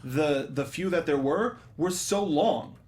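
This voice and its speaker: A man with a slightly high voice talks as a host on a review panel. He chats casually and critically, with animated exasperation as he picks things apart. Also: slow. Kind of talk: casual film review discussion.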